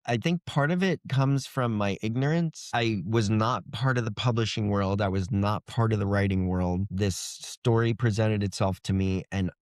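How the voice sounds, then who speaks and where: A man's voice: lowish voice, moderate pace, hardly any pauses, dry recording, studio quality. A man being interviewed as an author, explaining things in an informal, loosely phrased, conversational way.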